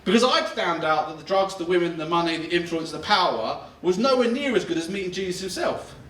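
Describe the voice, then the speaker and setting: A man's voice: high voice, lively intonation, fairly quick, fairly bright tone, roomy sound, recorded from a distance, a little hiss or hum. A man in his thirties, a pastor giving a chatty, self-deprecating sermon and laughing as he talks. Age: 30s. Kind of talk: conversational sermon. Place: church auditorium.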